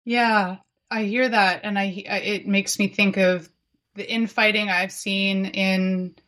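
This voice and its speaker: A woman's voice: mid-range voice, little pitch movement, slow pace, fairly bright tone. A woman hosting a podcast interview, speaking reflectively and searching for her words as she goes. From Canada. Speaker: podcast host. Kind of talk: casual conversational interview.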